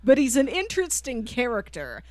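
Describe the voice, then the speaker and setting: A woman's voice: a little high, wildly swooping pitch, normal speed, darkish tone, dry recording. A woman co-hosting a podcast, chatting casually and emphatically about a book. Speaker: podcast co-host. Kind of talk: casual book discussion chat.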